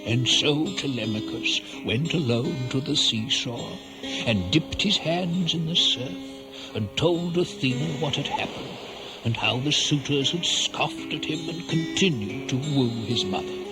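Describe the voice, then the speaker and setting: A man narrates a radio drama as a theatrical storyteller. He takes his time, with highly varied pitch, over a clear music bed. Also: animated, measured pace.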